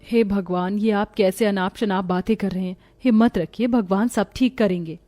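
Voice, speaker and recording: A woman playing a soft-spoken devout village wife. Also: medium pitch, fairly even intonation, normal speed, no room sound, lightly acted. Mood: soft, worried.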